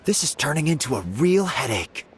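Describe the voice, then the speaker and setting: A man's voice: on the high side, sing-song, normal speed, slight background noise. A man playing an anxious, deferential subordinate agent in a video game, sounding worried and dutiful.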